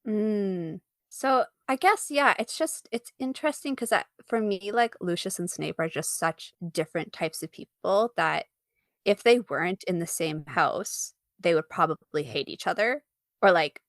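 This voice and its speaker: A woman's voice: on the low side, measured pace, dry recording, clean studio sound. Giggly, informal woman chatting about her art. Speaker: interview guest, fan artist. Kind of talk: casual chat about own artwork.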